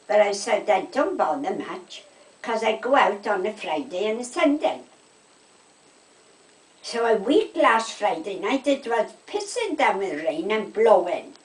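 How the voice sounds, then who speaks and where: An older woman with a South Wales Valleys accent, telling gossipy stories in casual, animated chat. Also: mid-range voice. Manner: animated anecdotes, quoting conversations, checking with "right?". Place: indoors at home. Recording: echoey room, distant microphone.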